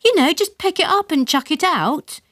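A woman's voice: extremely high pitch, animated, normal speed, a bit bright, pitched above the performer's own voice. A woman voicing an eager young girl, keen to help.

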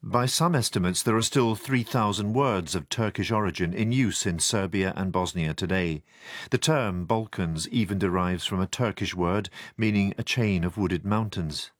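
A man reporting the news, reading measured, formal narration and putting questions to interviewees. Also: deep voice, dry recording, studio quality.

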